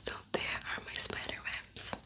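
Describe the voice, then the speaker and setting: A woman whispering in a breathy, unvoiced ASMR style as a tutorial host, softly repeating words. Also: unhurried.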